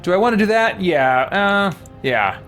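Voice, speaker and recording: A man's voice: high voice, sing-song, moderate pace, fairly bright tone, soft background music. A man streaming a video game, giving casual commentary and thinking aloud as he goes. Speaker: gaming streamer.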